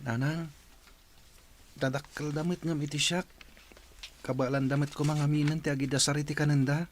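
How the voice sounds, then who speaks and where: An actor as a wounded, obedient young son in a radio drama. His slightly high voice stays very level, earnest and plaintive. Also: level tone, normal speed, slight background noise, lightly acted. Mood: pleading. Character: hurt, dutiful young adopted son. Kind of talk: Ilocano radio drama acting.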